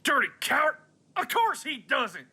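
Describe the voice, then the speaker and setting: A man's voice: very high voice, huge pitch swings, a little slow, sharp tone, exaggerated voice. A man playing a menacing, possessive rancher in a western radio drama, belligerent and hot-tempered. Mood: hostile.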